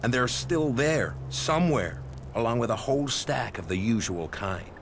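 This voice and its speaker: A worldly, profit-minded historian from a wasteland game, voiced by a man. His mid-range voice explains things in a measured, wary way, over faint ambient noise. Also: mid-range voice, expressive, lightly acted. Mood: storytelling, intrigued. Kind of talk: expository game dialogue.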